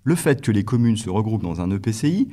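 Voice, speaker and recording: Lively-pitched man hosting a podcast. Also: fast talker. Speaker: podcast host asking questions. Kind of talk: prepared podcast interview questions.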